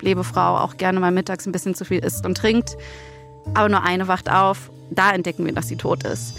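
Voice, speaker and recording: A woman casually pitching a story as a co-host, setting the scene in colloquial language. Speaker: co-host pitching a crime audio drama story.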